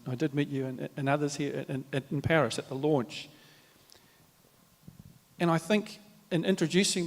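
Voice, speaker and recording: A man with a New Zealand accent, speaking at a live panel in a measured, reflective way with many fillers and restarts. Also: medium pitch. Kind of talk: formal panel talk with Māori greetings. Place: large hall at a live event. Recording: reverberant hall.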